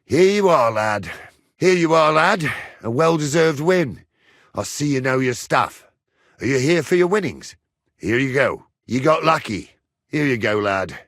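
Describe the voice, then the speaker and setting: A gruff medieval captain from a video game, speaks in blunt, rustic, teasing phrases. His medium-pitched voice swings expressively up and down with cocky swagger. The sound is close and dry. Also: animated, unhurried, a bit bright, dry recording. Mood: smug, teasing. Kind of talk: video game character dialogue lines.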